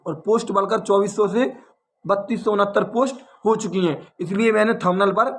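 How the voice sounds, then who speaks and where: A man's voice: high-pitched, a little fast, a bit bright, a little hiss or hum. A man with a North Indian accent from Uttar Pradesh, giving students an informal explanatory update as a coaching teacher, repeating points and asking rhetorical questions. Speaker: exam coaching teacher and channel host.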